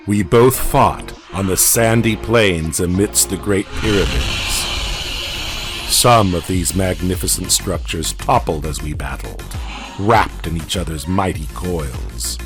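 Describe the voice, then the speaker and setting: A man narrating a scripted fantasy story in a solemn, theatrical, deliberate manner. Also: low voice. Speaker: narrator of an audio comic.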